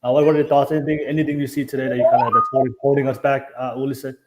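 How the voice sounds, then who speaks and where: A man hosting and moderating a live online panel, polite and brisk as he keeps things moving. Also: mid-range voice, little pitch movement, loud music behind the voice. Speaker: host, moderator.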